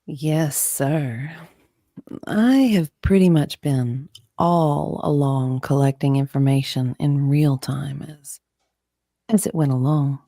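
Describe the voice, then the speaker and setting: A woman reading the part of a guarded, politely stubborn witness in a dramatized deposition, measured and evasive. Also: low-pitched, fairly even intonation, dark tone, no room sound, clean studio sound, full character voice.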